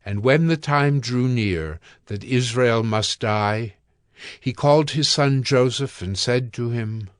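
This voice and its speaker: A man's voice: medium pitch, takes it slowly, clear, resonant tone, dry recording, studio quality. A man reading scripted Bible narration for an audio drama.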